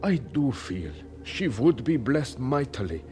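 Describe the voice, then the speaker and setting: An actor playing a devout young preacher in an audio drama, humble and earnest, with a hesitant, halting delivery. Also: male voice, medium pitch, very animated, darkish tone, faint music behind. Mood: prayerful.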